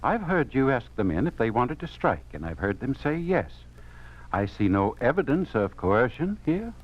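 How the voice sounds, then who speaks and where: An actor playing an obtuse official who defends the government line in a 1950s radio drama, formal and protesting. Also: male voice, mid-range voice, big pitch swings, moderate pace. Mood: placating, reproving. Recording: dull lo-fi 1950s radio recording.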